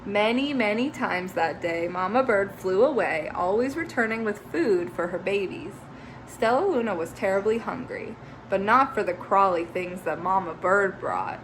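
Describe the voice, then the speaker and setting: A woman teacher reading a children's picture book aloud, expressive, with chatty asides to young listeners. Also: medium pitch, moderate pace, slight background noise, rough recording.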